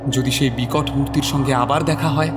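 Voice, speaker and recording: A man's voice: mid-range voice, steady tone, brisk, over loud music. A man narrating a scripted story as a dramatic narrator.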